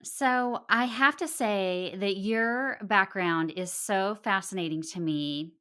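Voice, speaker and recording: A woman's voice: medium pitch, slow, pushed and bright, clear, resonant tone. A middle-aged woman hosting a podcast, introducing her guest and asking questions. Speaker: podcast host.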